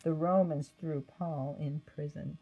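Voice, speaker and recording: A woman reading a scripted children's Bible story aloud in an expressive manner. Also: sits low, measured pace, husky, muffled recording. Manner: expressive read-aloud with acted quotes. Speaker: storybook reader.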